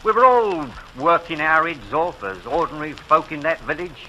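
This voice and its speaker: An actor playing a humble, plucky village grocer with an English working-class accent, folksy and earnest in 1940s radio style. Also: male voice, on the high side, very animated, normal speed, sharp tone, muffled recording, slight background noise.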